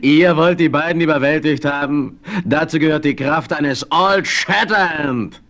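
A man playing a brash, skeptical, excitable leader of frontiersmen in a western radio play. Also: a little high, a little slow. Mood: scornful. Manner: emphatic, incredulous, scoffing.

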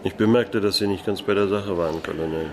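Deep-voiced, world-weary private detective. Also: male voice, fast, noisy background. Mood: guarded, dry. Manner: laconic, dryly ironic. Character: disillusioned private detective narrating his case, dry and world-weary. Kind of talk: noir radio play narration and dialogue.

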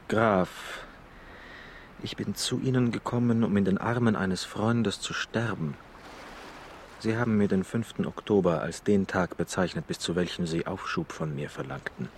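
An actor playing an earnest, despairing young lover in a German radio drama, formal and full of feeling. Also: male voice, low voice, normal speed, a little hiss or hum. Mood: desperate, resolute.

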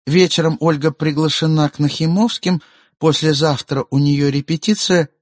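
Guy doing a tired ex-wife in an audiobook, brushing him off, husky, raised pitch, dry sound. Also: fairly even intonation, moderate pace, dry recording, natural voice. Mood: curt. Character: woman, tired ex-wife, guarded and curt.

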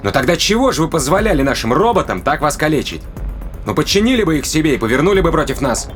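A man's voice: a little high, big pitch swings, fast, music in the background. An actor playing a blunt, impatient male interrogator in an audio drama, probing and demanding in colloquial speech. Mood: insistent, puzzled.

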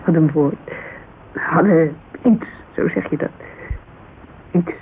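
Hesitant Dutch woman, creaky voice, thirties. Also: sits low, takes it slowly. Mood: guarded, coy. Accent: Dutch, Netherlands. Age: 30s. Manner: hesitant, colloquial, searching for words. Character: thirty-three-year-old Amsterdam model agency owner, guarded, wistful, candid. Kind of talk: naturalistic radio drama dialogue. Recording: narrow, hissy old radio recording.

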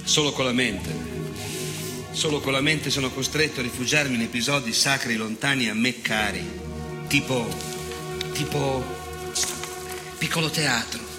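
An actor playing an unrepentant, ageing fascist in a theatrical monologue, declamatory and sardonic, repeated phrases and dramatic pauses. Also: male voice, medium pitch, loud music behind the voice, natural voice. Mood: menacing, then mocking. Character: unrepentant ageing fascist nostalgic, bitter, sneering, menacing man.

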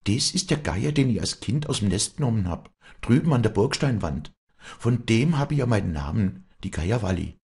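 A male narrator plays a proud young woman of the mountains in an audiobook drama. Her voice is pushed well up and swings widely, with a husky grain. She speaks an emphatic Bavarian-Austrian Alpine dialect, fiery and protective. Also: on the low side, lively intonation, moderate pace, darkish tone, voice pushed up, heavily acted. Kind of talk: dramatic audiobook character dialogue.

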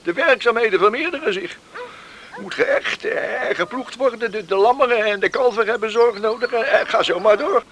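A man's voice: very high-pitched, animated, moderate pace, a crowd in the background. A man acting a fussy, concerned villager in a radio play, stammering and repeating words as he insists. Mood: insistent, concerned. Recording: faintly noisy radio play, outdoor sounds.

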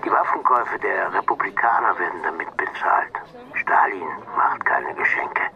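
An actor playing a brisk, matter-of-fact man on the telephone in a radio crime drama. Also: low voice, gravelly, bright, forceful tone, exaggerated voice. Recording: dull telephone-line sound.

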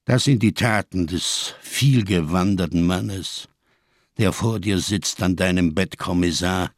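A man's voice: low-pitched, unhurried, no room sound, clean studio sound. An actor in a radio drama playing a scarred, hard-drinking survivor of the camps, solemn and rhetorical, bitterly defiant in his grief. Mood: anguished, solemn. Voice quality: gravelly, husky. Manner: solemn, rhetorical, swinging between hushed and impassioned.

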